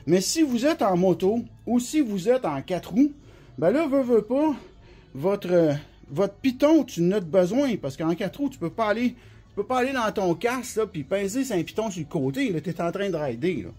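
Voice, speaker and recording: A man's voice: sits high, big pitch swings, moderate pace, dark tone, breathy edge. A man with a Québécois French accent and a touch of flu, giving an informal, colloquial, step-by-step product explanation and often repeating himself. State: has the flu, coughing now and then. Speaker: CB radio specialist giving a product demonstration. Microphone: phone held by the speaker.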